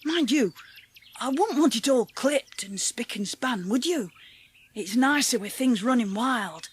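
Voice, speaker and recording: High-voiced, friendly Yorkshire moor boy. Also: animated, unhurried, husky, exaggerated voice. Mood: friendly enthusiasm. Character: friendly, rustic Yorkshire moorland boy, gentle nature lover. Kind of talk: dramatised audiobook acting.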